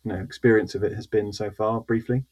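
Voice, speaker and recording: A man's voice: fairly low pitch, fairly even intonation, fast, a little mellow. A man hosting a podcast, joking with his co-hosts and often restarting his sentences. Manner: jokey, hesitant, many restarts. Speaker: podcast host. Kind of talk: casual podcast chat.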